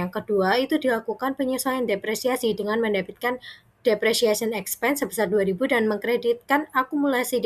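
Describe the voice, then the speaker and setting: A young adult woman giving an informal student presentation, explaining step by step in a conversational way. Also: mid-range voice.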